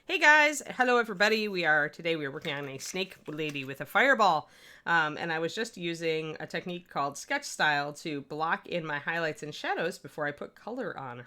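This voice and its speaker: A woman with a Midwestern accent talks through a painting livestream in a loose, rambling way, answering chat as she works. Her voice sits fairly low for a woman but sounds bright and forceful. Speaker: miniature painting streamer. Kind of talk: casual livestream painting tutorial.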